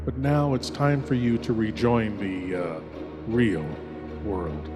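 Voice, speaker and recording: Brooding horror host with long reverb. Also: male voice, on the low side, lively intonation, over music. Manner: brooding, measured, dramatic. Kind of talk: theatrical narration of a story's moral and sign-off.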